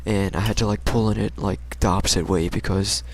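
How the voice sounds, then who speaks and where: A man's voice: lowish voice, fairly even intonation, brisk, a little hiss or hum. A young American man casually telling a personal story in a rambling, slangy, hesitant way. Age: young adult.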